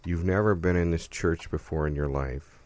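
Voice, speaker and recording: Male improv quizmaster: very deep voice, measured delivery, leaves prompts hanging. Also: a little mellow, no room sound.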